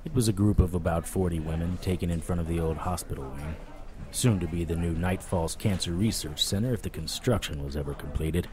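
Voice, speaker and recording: A man playing a wry, world-weary supernatural private investigator, narrating in a deadpan noir audio drama style. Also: low voice, brisk, lightly acted. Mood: wry, reflective.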